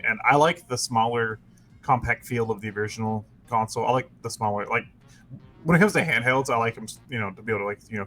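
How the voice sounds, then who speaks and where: A man's voice: medium pitch, fairly bright tone, a little hiss or hum. Rambling man on a gaming podcast. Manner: rambling, loose, swears casually. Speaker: podcast co-host. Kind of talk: casual chat.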